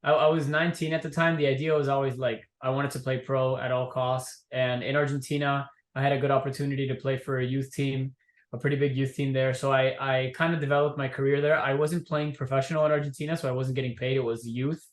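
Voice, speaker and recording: A young man from Miami, a guest entrepreneur, telling his story in a relaxed, easygoing way. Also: medium pitch, fast, reverberant. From Miami, USA. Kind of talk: casual interview.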